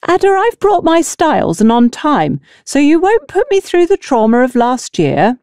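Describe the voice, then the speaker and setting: A woman's voice: sits high, very animated, normal speed, darkish tone, no room sound, clean studio sound, voice pushed up. A woman voicing a pompous, indignant village mother in an audiobook. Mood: haughty, insistent. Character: haughty, indignant village mother, pushy dressmaker's customer.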